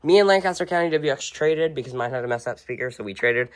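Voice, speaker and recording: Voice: medium pitch, lively intonation, fast talker. A person casually showing off a radio collection unscripted, giving short, blunt verdicts in an offhand way. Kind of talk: casual unscripted show-and-tell. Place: indoors at home, among shelves and tables of radios.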